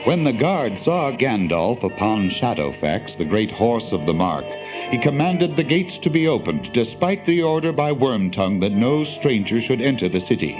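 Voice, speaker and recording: A man narrating a fantasy audio drama in a measured, literary storybook style. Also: mid-range voice, sing-song, music in the background, low-quality recording.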